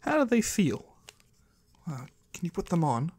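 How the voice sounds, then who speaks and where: A man's voice: on the high side, wildly swooping pitch, a little slow, darkish tone, exaggerated voice. A man playing a curious otherworldly trader in an ASMR roleplay, musing in quaintly formal words and savoring single ones close to the microphone. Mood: curious, bemused. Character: curious otherworldly male trader of the shadowy void. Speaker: ASMR performer. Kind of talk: ASMR roleplay, soft slow close speech to the listener. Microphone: close microphone, dry.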